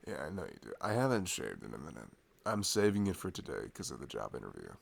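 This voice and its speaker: A man's voice: rumbling low, wildly swooping pitch, fast, creaky, slight background noise, exaggerated voice. A young man playing a sweet, playful boyfriend in an ASMR roleplay, chatting tenderly and casually in a rambling, teasing way. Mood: playful, relaxed. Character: sweet, playful, affectionate boyfriend cuddling his partner. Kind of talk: ASMR boyfriend roleplay, improvised intimate chat.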